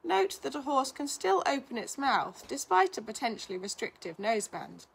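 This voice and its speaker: A woman reading a scripted article aloud in a measured, instructional manner. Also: sits high, big pitch swings, gravelly, breathy edge, poor audio. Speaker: reader of a blog, physiotherapist.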